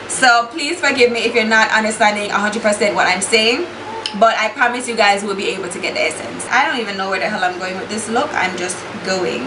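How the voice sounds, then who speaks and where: Blunt Jamaican woman, echoey room. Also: mid-range voice. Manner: blunt and exasperated, swears freely, slips into patois. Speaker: beauty YouTuber. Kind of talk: casual chat while doing makeup. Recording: echoey room with steady background hiss.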